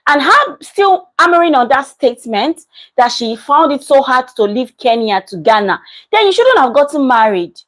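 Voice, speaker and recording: A woman's voice: high-pitched, moderate pace, low-quality recording. A woman, giving casual reaction commentary and reading out viewer comments in an emphatic way that repeats points. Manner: animated, emphatic, repeats herself. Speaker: commentary vlogger.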